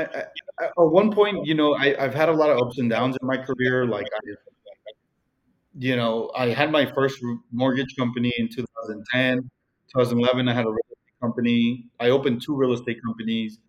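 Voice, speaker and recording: A man's voice: medium pitch, fairly even intonation, normal speed, rough recording. A man with a Mexican accent, a real estate broker talking as a guest in a casual interview, rambling with many fillers and restarts.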